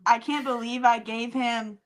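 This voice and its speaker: A woman's voice: a little high, level tone, unhurried, slight background noise, poor audio. A woman co-hosting a podcast in casual chat, speaking emphatically in slang and repeating phrases for effect. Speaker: podcast co-host.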